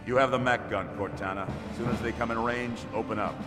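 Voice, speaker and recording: An actor playing a grave older navy admiral in a video game, formal and commanding. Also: male voice, mid-range voice, steady tone, fast, close to an everyday voice. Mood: urgent, commanding. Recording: hall reverb, loud music behind.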